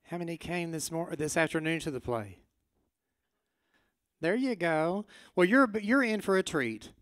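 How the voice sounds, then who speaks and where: A man's voice: on the high side, lively intonation, a little slow, fairly bright tone, no room sound, studio quality. A man hosting an evening, giving an informal, conversational introduction to a staged play and speaking straight to the audience. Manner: conversational, addressing audience directly.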